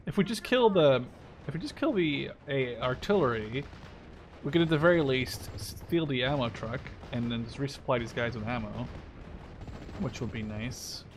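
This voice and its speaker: A man's voice: mid-range voice, moderate pace, slight background noise. A man in his twenties thinking aloud as he plays a strategy game, muttering casual commentary with some swearing. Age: 20s. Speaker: gamer commentating own play. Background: game gunfire and artillery.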